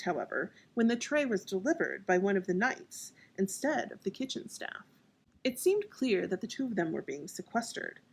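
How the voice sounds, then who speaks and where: A woman's voice: medium pitch, breathy edge. A woman narrating as an author and storyteller. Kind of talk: scripted audiobook narration.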